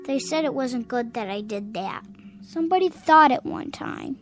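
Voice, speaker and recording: High-voiced petulant, menacing little boy. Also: expressive, moderate pace, soft background music. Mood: sulky, menacing. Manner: childish, blunt, sulky. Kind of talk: radio drama acting.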